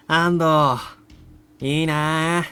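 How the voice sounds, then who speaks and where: A man's voice: fairly high pitch, bright, forceful tone, smooth, full character voice. A man playing a cocky, gleeful young rival villain in an anime visual novel, taunting in a boisterous, theatrical way.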